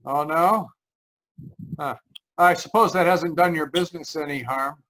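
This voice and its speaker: A man's voice: on the high side, unhurried, fairly bright tone, rough recording. A man playing an uneasy motorist who tells his eerie road story in old-time radio mystery style, with a hesitant, dramatic delivery. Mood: nervous, probing. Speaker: actor, lead narrator. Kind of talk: 1940s radio mystery acting. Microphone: remote video call.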